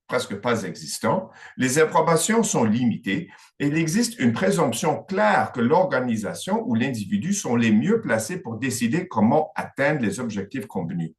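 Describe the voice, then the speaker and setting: A man on an online panel describing a funding example in a measured, deliberate way with occasional restarts. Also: mid-range voice, very animated. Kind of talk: explaining an example on a webinar panel.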